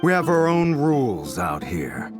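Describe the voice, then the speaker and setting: An actor playing a shrewd, ruthless male desert tribe chief in a video game, deliberate and sardonic. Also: medium pitch, wildly swooping pitch, faint music behind. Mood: wary, shrewd.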